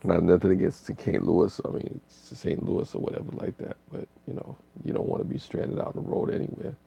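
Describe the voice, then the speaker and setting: A man's voice: low voice, fairly even intonation, a little fast, dark tone. A tired man, hosting a laid-back live stream and rambling through chat comments. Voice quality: raspy.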